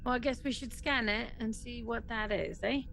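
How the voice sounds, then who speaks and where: A woman's voice: fairly high pitch, fairly even intonation, normal speed, gravelly, a bit bright, soft background music, rough recording. A woman playing a tabletop game, chatting casually and playfully as she improvises. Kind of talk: casual improvised role-play chat.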